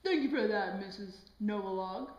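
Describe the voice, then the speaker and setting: A woman's voice: mid-range voice, normal speed, a little mellow, close to an everyday voice. A woman playing an earnest, polite police officer who conducts a scripted TV interview. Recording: dull, echoey room recording.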